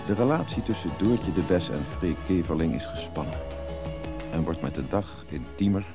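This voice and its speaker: Deep male announcer over music. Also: expressive, normal speed. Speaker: radio drama announcer and narrator. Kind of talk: scripted narration.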